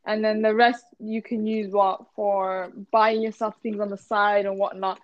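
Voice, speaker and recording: A woman's voice: medium pitch, level tone, unhurried, no echo, highs cut off. A young woman student chatting casually and frankly, with plenty of filler words. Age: young adult. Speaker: student co-host. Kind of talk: casual chat.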